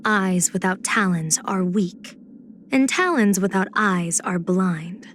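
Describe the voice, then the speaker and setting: A woman playing a playful, scheming, poised general and diviner in a video game, speaking in measured, teasing, elegant phrases. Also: medium pitch, a little hiss or hum, lightly acted. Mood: wry, composed. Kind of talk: video game character voice acting.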